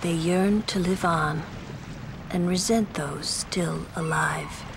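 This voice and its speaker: Composed stern game mage, slow and solemn, bit of vocal fry. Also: female voice, lowish voice, background noise. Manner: measured, solemn, slightly condescending. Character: composed, stern, world-weary young female mage. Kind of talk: video game cutscene acting.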